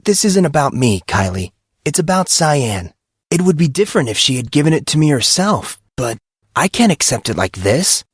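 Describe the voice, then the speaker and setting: A man's voice: on the high side, big pitch swings, moderate pace, slightly rough, no echo, clean studio sound, pitched above the performer's own voice. A man voicing an awkward, self-doubting teenage boy in an audio drama, stammering and exasperated. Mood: wry. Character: awkward, flustered, self-doubting fourteen-year-old high school boy. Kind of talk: audio drama character acting.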